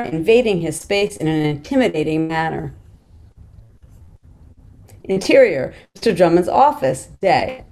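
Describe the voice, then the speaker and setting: Middle-aged female narrator for a script read, lowish and soft-toned. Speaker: narrator reading stage directions. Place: small plain room at home, on video call.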